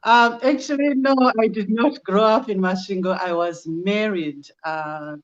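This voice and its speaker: Slow-talking Zimbabwean woman. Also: medium pitch, highs cut off. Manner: slow, measured, with many ums and uhs. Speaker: guest, project founder. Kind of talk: casual interview answers.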